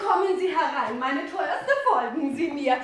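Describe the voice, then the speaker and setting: A woman's voice: high voice, animated, measured pace, slight background noise. An actress playing a genteel charity society lady in a comedy radio play, effusive and flowery in her politeness. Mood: gushing.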